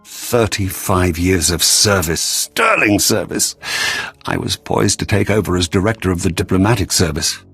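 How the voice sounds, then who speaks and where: A man's voice: deep voice, animated, moderate pace, a bit bright, husky, faint music behind, full character voice. A man acting a bitter, disgraced senior diplomat in a video game, formal and aggrieved in manner. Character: bitter, disgraced senior male ambassador, weary and indignant.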